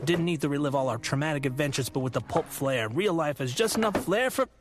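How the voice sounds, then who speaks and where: A man's voice: fairly high pitch, lively intonation, fast, noisy background, natural voice. A man playing a weary, wisecracking investigator in a radio drama, with a dry, sardonic manner.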